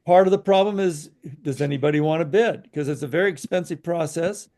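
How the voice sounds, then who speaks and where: A man's voice: on the high side, a little fast, a little mellow. A man co-hosting a podcast, giving frank opinions in casual chat, hesitant and full of fillers. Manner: frank, hesitant, many "you know" fillers. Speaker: podcast co-host.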